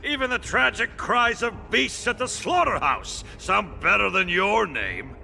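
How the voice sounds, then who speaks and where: Sneering, gravelly, bloodthirsty warrior. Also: male voice, high voice, expressive, unhurried, pushed and bright, slight background noise, full character voice. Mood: mocking. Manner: taunting, theatrical, sneering. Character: bloodthirsty, boastful enemy warrior, twisted by strife. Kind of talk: fantasy video game acting.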